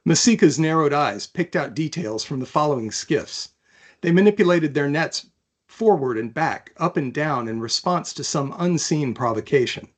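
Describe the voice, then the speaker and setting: A man, an author, talking about and reading from his own fantasy novel in a thoughtful, measured manner. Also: a little high, lively intonation. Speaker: author, interview guest.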